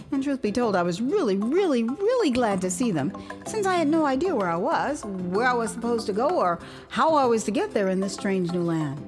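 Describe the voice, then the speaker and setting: Female American storyteller, mid-pitched, theatrical and expressive, narrating a memoir audio drama. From United States, Houston. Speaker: author and storyteller.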